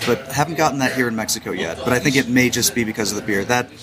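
A man's voice: mid-range voice, racing. A man co-hosting a podcast, chatting casually in a self-deprecating way with many fillers. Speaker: podcast co-host. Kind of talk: casual chat. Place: Japanese supermarket eatery. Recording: music playing behind.

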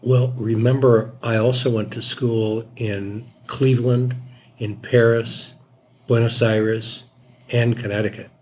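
A man in his seventies chatting as a podcast guest, talking in a measured, reasoned way with frequent restarts. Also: mid-range voice, little pitch movement, darkish tone, a little hiss or hum. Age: 70s. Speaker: podcast guest, host's father. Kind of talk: casual chat.

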